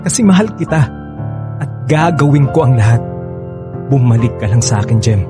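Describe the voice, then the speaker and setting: An actor with a Filipino accent playing an earnest, persistent young ex-boyfriend in a radio drama. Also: male voice, mid-range voice, lively intonation, moderate pace, music in the background, natural voice. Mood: pleading, insistent. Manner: earnest, insistent, sweet-talking.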